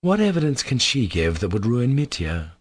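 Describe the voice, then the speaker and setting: A man's voice: medium pitch, big pitch swings, moderate pace, no room sound, pitched above the performer's own voice. A man voicing a timid, tender and earnest younger brother in a dramatised novel reading. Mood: warm insistence. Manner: earnest, tender, pleading, hesitant.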